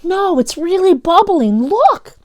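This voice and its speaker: A woman reading a storybook aloud as an excited, frightened young boy, exclaiming urgently. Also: very high voice, slow, no echo, clean studio sound, pitched above the performer's own voice, heavily acted. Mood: alarmed. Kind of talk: children's storybook read-aloud.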